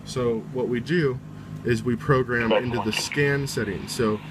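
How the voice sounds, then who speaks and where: A man's voice: medium pitch, steady tone, measured pace, background noise. A man giving a casual, step-by-step how-to in an informal way, often reiterating each step. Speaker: tutorial presenter.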